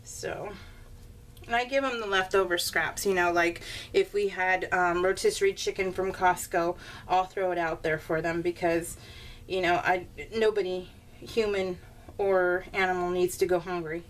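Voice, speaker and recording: A woman's voice: lowish voice, steady tone, normal speed. An American woman chatting casually in a rambling, giggly, conversational way, as the host of a homemade craft vlog. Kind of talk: casual chatty vlog voiced in real time. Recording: slightly distant mic, faint room noise.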